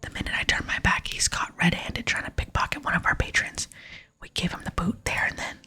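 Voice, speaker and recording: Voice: brisk, bright, forceful tone. A person voicing a proud, grumpy video game cook who scolds in a whisper. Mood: indignant. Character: gruff, proud cook guarding the kitchen, quick to scold.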